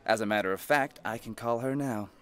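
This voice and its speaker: A man's voice: mid-range voice, brisk, slight background noise, lightly acted. An actor playing a wealthy, dismissive, dryly irritated man in an audio drama. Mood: defiant. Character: wealthy cynical modern man, irritated, Scrooge-like skeptic.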